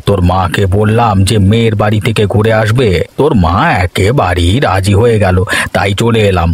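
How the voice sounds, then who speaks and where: A man voicing an affectionate old village grandfather in a cartoon, genial and folksy, with music clearly behind him. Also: lowish voice, steady tone, moderate pace. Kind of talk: cartoon voice acting.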